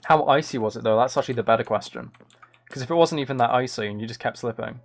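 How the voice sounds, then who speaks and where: A soft-spoken man chatting casually on a gaming livestream, thinking aloud in a musing, tentative way as he builds. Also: medium pitch, quick pace. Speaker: livestream host.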